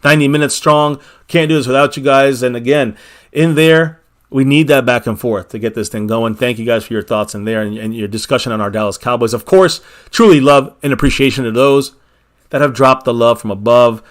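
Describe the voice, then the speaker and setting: A man's voice: mid-range voice, brisk. A man hosting a casual fan livestream from a small home bar, thanking viewers by name and repeating his catchphrases with hype. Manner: hyped, repeats catchphrases, calls viewers baby. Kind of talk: casual livestream sign-off with shout-outs.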